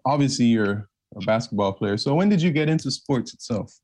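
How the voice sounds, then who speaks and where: A man's voice: mid-range voice, expressive, a little fast, a little mellow. Male podcast host, mid-range voice, laid-back and conversational with his guest. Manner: casual, laid-back questioning. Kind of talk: casual interview hosting.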